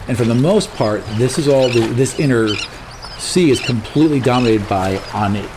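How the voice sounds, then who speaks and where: A man's voice: medium pitch, moderate pace, noisy background. A man working as dungeon master, narrating a tabletop game with dramatic, explanatory storytelling.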